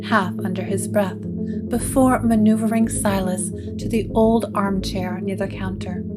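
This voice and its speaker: A woman narrating a scripted gothic horror story in a measured, dramatic storytelling manner. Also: medium pitch, steady tone, loud music behind the voice.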